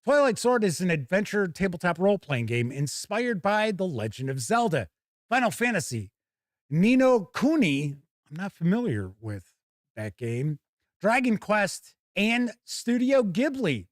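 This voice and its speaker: A man's voice: high-pitched, big pitch swings, unhurried, no room sound, studio quality. An American man hosting a live tabletop gaming news show, mixing scripted items with casual, theatrical, jokey asides. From United States.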